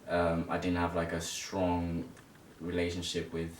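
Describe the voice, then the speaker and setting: Deep young male voice, from Zimbabwe, flat intonation, hesitant casual storytelling, interview guest. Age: early twenties. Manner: hesitant, halting, informal. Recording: echoey room, distant mic, rumbling noise.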